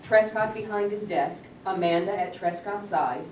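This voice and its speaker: A woman's voice: medium pitch, normal speed, a little mellow. A woman reading stage directions aloud as scripted narration, in a plain, matter-of-fact manner. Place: indoor room at a live staged reading. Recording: echoey room, distant mic, noisy.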